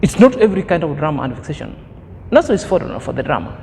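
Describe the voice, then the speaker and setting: A man's voice: fairly high pitch, big pitch swings, rapid-fire, creaky, darkish tone, long reverb tail, slight background noise. A man in his thirties giving an opinion commentary as a vlogger, preachy and emphatic, fond of grand words. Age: 30s.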